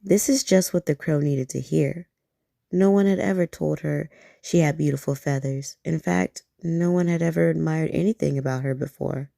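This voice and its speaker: Low, gravelly bedtime storyteller woman. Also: moderate pace, no echo, studio quality. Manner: soft, gentle and soothing.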